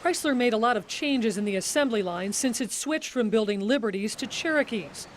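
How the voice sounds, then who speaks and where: A woman's voice: on the high side, lively intonation, a little fast, slight background noise. A middle-aged woman, a TV news reporter, delivering scripted news narration.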